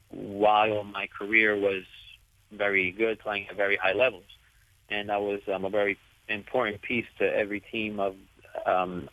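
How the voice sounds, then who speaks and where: A young man, a soccer player whose first language is Italian, answering interview questions softly while searching for his words. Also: fairly low pitch, no echo. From United States. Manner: soft-spoken, searching for words. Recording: tinny dry phone line.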